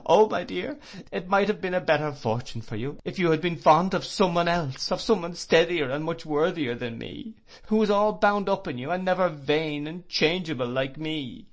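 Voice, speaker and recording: Male reader doing a weeping young woman, high and pleading, audiobook. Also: expressive, normal speed. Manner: sobbing, pleading. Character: young woman, tearful and remorseful.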